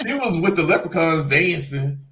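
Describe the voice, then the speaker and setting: A man's voice: fairly high pitch, expressive, normal speed, over a noisy crowd. A man co-hosting a casual TV review chat, speaking colloquially and teasingly, repeating himself for emphasis. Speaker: co-host of a TV review livestream.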